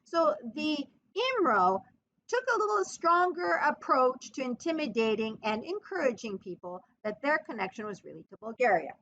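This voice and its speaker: A woman giving a history podcast lecture in an emphatic, expressive storytelling manner. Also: sits high, normal speed. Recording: muffled lo-fi recording.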